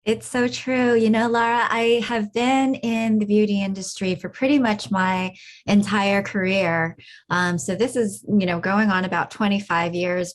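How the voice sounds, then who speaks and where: Woman guest in her forties, mid-pitched, reflective casual chat with frequent restarts. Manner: reflective, many fillers and restarts. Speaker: podcast guest, beauty industry veteran. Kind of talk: casual interview conversation.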